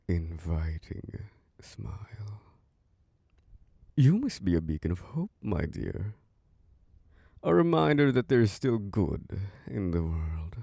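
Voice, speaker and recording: A man voicing an ancient vampire lord, deep and gravelly, purring slowly and seductively into a dry, close microphone. His pitch rolls and swoops with lively control, and the tone stays dark and mellow. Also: no echo, exaggerated voice. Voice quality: low gravelly voice. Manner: slow, seductive, soft chuckles. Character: ancient charming vampire lord, male, possessive. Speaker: castle host. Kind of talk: ASMR audio roleplay.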